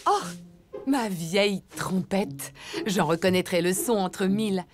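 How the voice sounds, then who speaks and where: A woman voicing a kindly, playful mother elephant in a cartoon, expressive and encouraging. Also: mid-range voice, unhurried, close to an everyday voice. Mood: nostalgic, encouraging. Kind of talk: French cartoon dubbing. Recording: music behind the voice.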